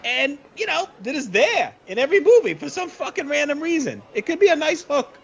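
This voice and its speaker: Man acting a high-pitched, swooping hustler promoter. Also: big pitch swings, fairly quick, gravelly, full character voice. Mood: musing, eager. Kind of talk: comedic audio play acting. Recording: slightly dull lo-fi audio.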